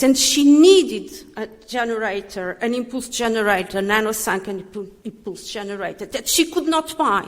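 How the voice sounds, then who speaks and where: Older woman in a reverberant hall. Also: medium pitch. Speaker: speaker giving a tribute. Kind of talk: commemorative speech. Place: large reverberant hall, live speech.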